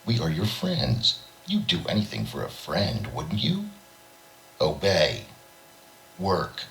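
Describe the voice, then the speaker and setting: Man voicing a croaking, arrogant alien ruler. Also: sits low, huge pitch swings, slow, a bit bright, a little hiss or hum, voice pushed up, exaggerated voice, rough recording. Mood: insinuating. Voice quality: croaking, squawking. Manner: curt, commanding. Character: arrogant reptilian alien ruler giving curt commands. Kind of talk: short story narration.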